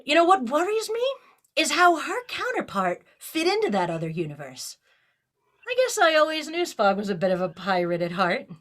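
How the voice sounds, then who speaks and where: A bold female starship captain, acted by a woman in a sci-fi audio drama. Her voice is high and bright, swinging widely as she makes emphatic, persuasive points with theatrical flair. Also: big pitch swings, moderate pace. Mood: wry. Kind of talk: fan audio drama acting. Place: remote virtual production.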